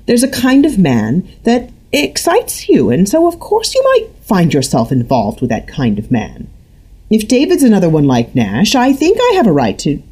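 A shrill, prying older mother who lectures and presses without letup, as a woman reading a novel puts her on. Her voice sits far higher than the reader's own and stays loud and insistent. Her tone swings widely. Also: huge pitch swings, normal speed, slightly rough. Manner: high-pitched, insistent and lecturing.

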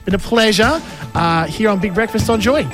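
Male radio host, fairly high-pitched, informal and conversational interviewer. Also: animated, normal speed, over music. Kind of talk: casual chatty interviewing. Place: radio show.

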